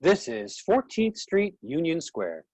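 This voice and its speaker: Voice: medium pitch, wildly swooping pitch, slow, darkish tone, no echo, full character voice. A performer voicing a subway announcer calling out stops in a stage play reading. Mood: announcing.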